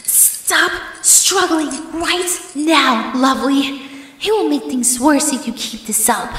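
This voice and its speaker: A woman's voice: high voice, expressive, a bit bright, a little hiss or hum, full character voice. A woman playing a possessive, obsessive yandere ex-girlfriend in a horror ASMR roleplay, cooing endearments with menace. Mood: quietly menacing. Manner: slow, drawn-out, cooing endearments turning threatening. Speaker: audio roleplay performer. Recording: echoey reverberant hall effect.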